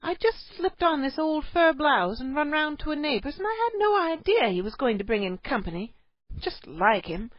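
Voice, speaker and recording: A woman voicing a fussy, scolding cave housewife who talks in shrill exclamations. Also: big pitch swings, moderate pace, dry recording, highs cut off. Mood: flustered.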